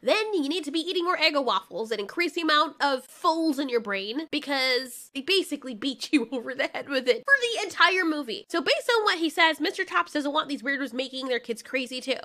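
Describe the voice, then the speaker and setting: A young woman giving a chatty, sarcastic commentary on an animated film as a video reviewer. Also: high-pitched, brisk, hardly any pauses.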